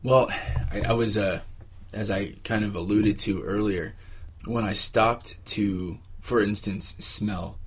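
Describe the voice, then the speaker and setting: A man giving casual, reflective interview answers, haltingly searching for his words. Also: lowish voice, steady tone, husky. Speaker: interviewee, exercise participant.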